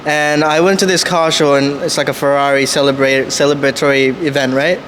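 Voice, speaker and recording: A man's voice: fairly high pitch, little pitch movement, a little fast, a bit bright, slight background noise, rough recording. A man from Sri Lanka chatting casually and earnestly on a podcast, with slangy speech. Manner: earnest, slangy, says "bro" and "you know". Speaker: podcast guest. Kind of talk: casual podcast chat, storytelling.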